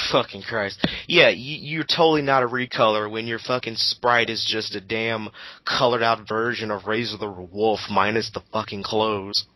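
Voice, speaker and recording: A man's voice: lowish voice, fairly even intonation, normal speed, pushed and bright, low-quality recording. A man giving casual group commentary in a blunt, exasperated way with plenty of swearing.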